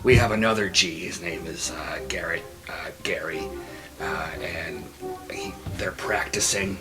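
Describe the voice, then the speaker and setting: A man's voice: low voice, measured pace. A man playing in an improvised tabletop roleplaying game, talking casually in and out of character. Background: music.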